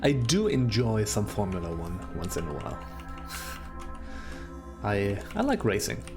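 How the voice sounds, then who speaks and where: A man's voice: on the low side, huge pitch swings, a little slow, music in the background. Chatty German-accented man playing a game. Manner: rambling, chatty, self-deprecating, with frequent laughs and restarts. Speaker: let's play host. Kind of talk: casual gameplay commentary.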